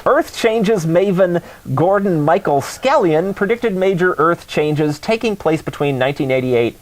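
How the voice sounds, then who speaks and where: A man's voice: high-pitched, expressive, unhurried. A man co-hosting a call-in talk show, speaking casually in a sardonic, mocking way.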